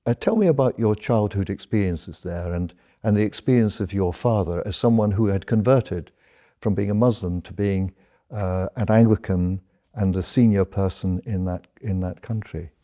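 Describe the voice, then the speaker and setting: Guy hosting a formal interview, lowish very dark voice, polite and a bit halting. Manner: polite, halting, with uhs and repeated words. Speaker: podcast host, interviewer.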